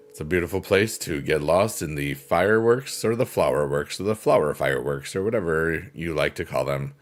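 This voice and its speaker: A man with a deep voice hosting a casual livestream, chatting in a rambling, unhurried way with plenty of fillers and reading viewer comments aloud. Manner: rambling, unhurried, many fillers like "you know" and "um". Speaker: livestream host.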